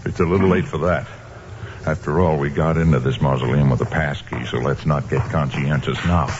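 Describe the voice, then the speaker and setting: An actor playing a brisk, wry homicide detective in an old-time radio mystery, gruff and commanding. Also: male voice, extremely low pitch. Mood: wry, skeptical. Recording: dull noisy old radio recording.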